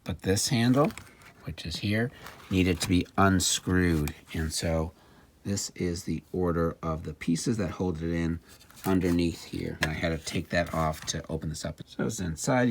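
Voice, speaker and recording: Male demonstrator, low and a little rough-edged, casual and plain-spoken, talking through hands-on work. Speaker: repair demonstrator and narrator.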